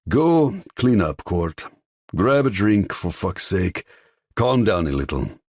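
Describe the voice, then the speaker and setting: A man's voice: low voice, very animated, slow pace, breathy edge, no room sound, pitched above the performer's own voice, full character voice. A man voicing a stern, controlling father who gives firm warnings. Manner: commanding, warning.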